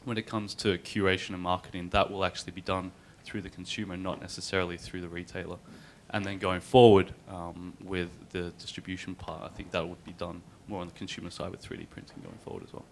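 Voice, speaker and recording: Male panelist, low and gravelly, measured explainer, faint room hum. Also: level tone. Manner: measured, structured explaining with fillers. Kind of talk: panel discussion.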